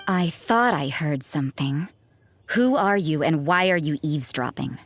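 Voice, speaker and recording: A woman's voice: deep voice, lively intonation, measured pace, faint music behind. A woman playing a cool, sardonic, suspicious church sister in a video game, with a dry, cynical, interrogating manner. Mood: stern, suspicious.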